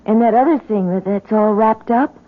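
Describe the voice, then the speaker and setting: An actress playing an anxious, loyal young woman who speaks haltingly and nervously in a 1970s radio drama. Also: fairly high pitch, a little hiss or hum, lightly acted. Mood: uneasy. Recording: muffled, narrow-band 1970s radio recording.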